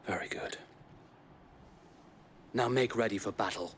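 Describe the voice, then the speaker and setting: Low-voiced loyal knight, played by a man. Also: a little slow, slight background noise, lightly acted. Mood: grim resolve. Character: loyal, chivalrous knight leading resistance fighters. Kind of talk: dramatic video game acting.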